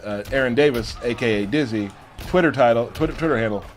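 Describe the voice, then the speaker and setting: A man's voice: mid-range voice, quick pace. A man chatting casually as a co-host on a gaming show. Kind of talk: casual chat.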